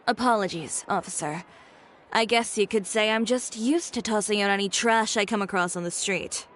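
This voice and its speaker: A woman's voice: medium pitch, normal speed, close to an everyday voice. A woman playing a cool, cutting dressmaker. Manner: calm, dry, with cutting sarcasm.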